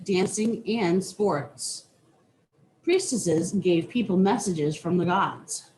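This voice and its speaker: A woman reading a children's nonfiction book aloud with deliberate, teacherly phrasing. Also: fairly low pitch, darkish tone, a little hiss or hum, low-quality recording. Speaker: reader.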